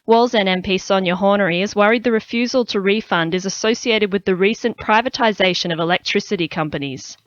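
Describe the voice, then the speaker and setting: A woman's voice: mid-range voice, brisk, few pauses, no room sound. A woman with an Australian accent reading a scripted news bulletin on the radio. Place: dry radio studio. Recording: slightly dull, lo-fi broadcast audio.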